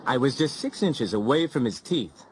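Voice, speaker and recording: A man's voice: medium pitch, moderate pace, slight background noise, close to an everyday voice. A man voicing a lifeguard recounting a shark encounter in vivid detail. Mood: tense recounting. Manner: vivid storytelling, animated. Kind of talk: scripted coursebook narration.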